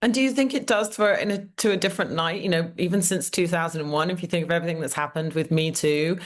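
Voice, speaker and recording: A woman's voice: on the low side, quick pace. A female interviewer from the UK who asks long, rambling questions packed with fillers. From United Kingdom.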